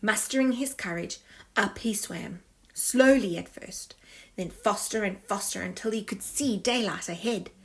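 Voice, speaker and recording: Slow-paced woman reading a children's story. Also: medium pitch, slightly rough. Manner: dramatic, deliberate delivery with sound effects. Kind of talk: expressive picture-book read-aloud.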